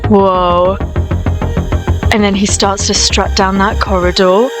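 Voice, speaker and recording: A woman with a high voice chatting casually and gushing enthusiastically while reacting to a music video. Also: level tone, slow.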